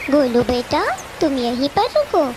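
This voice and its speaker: A woman's voice: sits high, big pitch swings, unhurried, background noise. A woman voicing a protective, caring mother bird in a children's cartoon story, earnest and motherly. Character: gentle, caring mother bird, protective of her young son.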